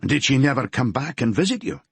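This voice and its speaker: A calm, sympathetic police detective voiced by a male narrator, measured and gently firm. His husky, mid-pitched voice comes through close and dry. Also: no echo, heavily acted. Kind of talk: audiobook character voice.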